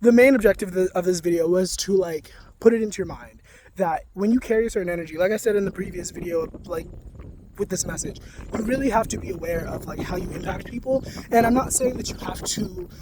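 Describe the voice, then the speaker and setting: A young man talking casually to camera in a soft-spoken, rambling way, full of "like". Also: high-pitched, expressive, quick pace, noisy background. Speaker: spiritual vlogger.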